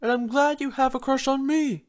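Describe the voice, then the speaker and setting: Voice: high voice, fairly even intonation, normal speed, pitched above the performer's own voice, lightly acted. A narrator voicing a cheerful young male dragon in a storybook reading, friendly and enthusiastic.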